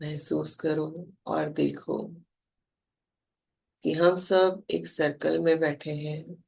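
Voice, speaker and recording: An Indian meditation guide speaking calmly and soothingly in short, measured phrases with pauses between them. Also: medium pitch, gravelly, breathy edge, low-quality recording.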